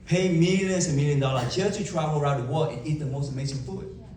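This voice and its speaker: A man's voice: fairly high pitch, darkish tone, slight background noise. Vietnamese-accented man speaking on stage. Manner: emphatic, dramatic pauses, rhetorical questions. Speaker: motivational speaker. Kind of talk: keynote speech to a live audience. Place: large event hall. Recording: echoey hall from far off.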